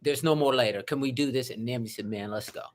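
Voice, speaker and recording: Effusive, animated man talking. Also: mid-range voice, quick pace. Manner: effusive, animated, swings loud and soft. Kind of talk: energetic interview conversation.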